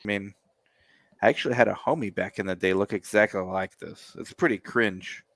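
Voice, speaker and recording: A man's voice: on the low side, moderate pace, a bit bright, a little hiss or hum. A man, co-hosting a casual music reaction show, joking and sarcastic as he rambles. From United States.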